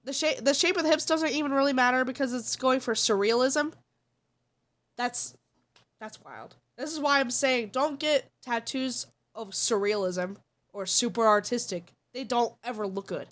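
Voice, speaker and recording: Woman streamer, slightly high voice, stuffy nose, frank and opinionated casual chat. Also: moderate pace, a bit bright. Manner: blunt and opinionated. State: congested.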